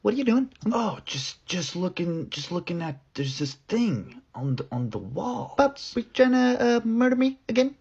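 A man's voice: high voice, sing-song, moderate pace, creaky, hardly any pauses, a little mellow, slightly rough, dull audio, full character voice. A man voicing a jumpy, suspicious small pet animal in a comic dub, with hesitant patter. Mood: suspicious, startled.

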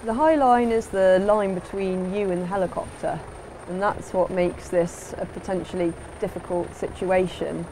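A woman's voice: fairly low pitch, fairly even intonation, normal speed, few pauses, background noise. A woman giving an instructional voice-over as a sailing instructor, in a plain, explanatory way.

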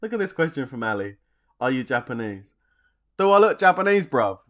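A man's voice: medium pitch, very animated, fairly quick, a bit bright. A man, chatting casually on a game live stream, joking in slang, laughing often and answering viewer questions from his desk at home.

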